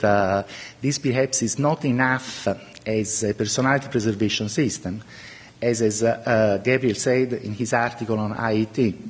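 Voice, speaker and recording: Middle-aged guy, italian is his first language, kinda husky, stop-start talk at a podium, hall echo. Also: mid-range voice. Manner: halting, many "uh" fillers. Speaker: conference speaker. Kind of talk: conference talk with slides. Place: large lecture room at a podium.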